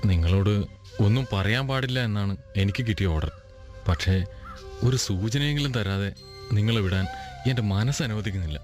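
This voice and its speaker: A man playing a dutiful, sympathetic escort officer with a measured, hesitant manner in a radio drama. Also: lowish voice, a little mellow, faint music behind, natural voice. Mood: reluctant, gentle.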